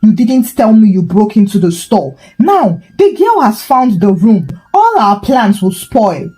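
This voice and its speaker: A woman storyteller voicing a loud, panicky, scheming female tenant with a shrill, accusatory, dramatic delivery. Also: sing-song, unhurried, soft background music, low-quality recording. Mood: panicked scheming. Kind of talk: storytelling folktale character voice.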